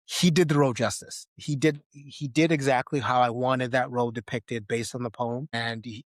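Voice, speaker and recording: A man's voice: medium pitch, fairly even intonation, moderate pace, dry recording, studio quality. A man co-hosting a podcast, giving a casual film review and animatedly acting out bits as he goes. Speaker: podcast co-host.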